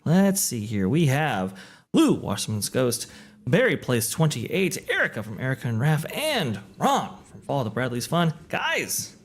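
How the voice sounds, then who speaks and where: A man hosting a live podcast panel, upbeat and quick to laugh as he introduces guests. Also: medium pitch, wildly swooping pitch. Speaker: podcast host. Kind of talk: casual live-stream chat.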